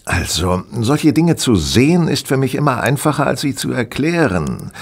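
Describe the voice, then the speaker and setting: A man playing a brilliant, self-assured gentleman detective in a German audio drama, explaining things deliberately with casual superiority. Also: mid-range voice, very animated, gravelly, breathy edge, full character voice. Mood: lecturing, self-assured.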